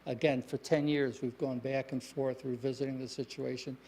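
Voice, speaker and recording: A man speaking as a developer at a public planning meeting, explaining his plans in a measured way with fillers. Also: medium pitch, level tone, darkish tone, slight background noise, rough recording.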